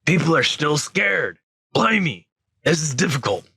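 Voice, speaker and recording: A man voicing a big, gruff male half-giant gamekeeper who speaks in a halting folksy dialect. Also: fairly high pitch, sing-song, pushed and bright, pitched above the performer's own voice, exaggerated voice. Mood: fearful.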